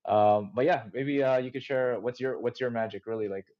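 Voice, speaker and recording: A man's voice: medium pitch, normal speed. A man hosting a podcast interview, chatting casually and rambling with lots of fillers and restarts. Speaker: podcast host. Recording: dull muffled lo-fi audio.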